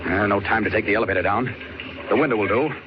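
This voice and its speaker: Guy playing a stern superhero, deep commanding voice, old radio drama, really noisy recording. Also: fast, muffled recording, close to an everyday voice. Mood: urgent. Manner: commanding, talks himself through his actions. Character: stern, powerful male superhero, resolute. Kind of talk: 1940s radio acting.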